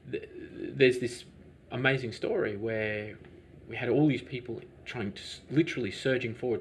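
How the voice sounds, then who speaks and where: A man's voice: medium pitch, slight background noise. A man, a business owner, talking through his story in a rambling, hesitant way, with many restarts and fillers. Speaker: lavender farm business owner. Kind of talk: conversational interview answers.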